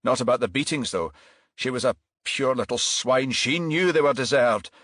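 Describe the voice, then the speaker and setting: A man's voice: medium pitch, animated, fairly bright tone, no echo, clean studio sound, pitched above the performer's own voice. Man acting a bitter, evasive murder suspect. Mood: bitter, self-justifying. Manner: halting, defensive.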